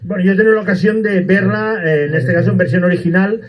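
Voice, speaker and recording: A man's voice: high-pitched, fairly even intonation, quick pace, crowd noise, poor audio. A man hosting a radio interview, asking long questions full of hesitations and fillers. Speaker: radio host.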